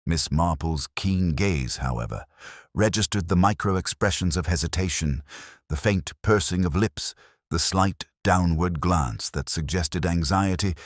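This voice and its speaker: Male audiobook narrator, mystery fiction. Very low voice, lively intonation, formal and measured delivery, dry close sound. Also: dry recording. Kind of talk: scripted mystery story narration.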